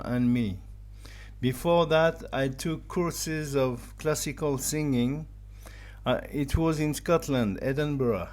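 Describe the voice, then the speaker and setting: Slow-speaking French-accented man in his fifties. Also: a little high. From France. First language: German. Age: 50s. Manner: slow, simple sentences in a second language. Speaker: digital artist. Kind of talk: personal pitch, read aloud.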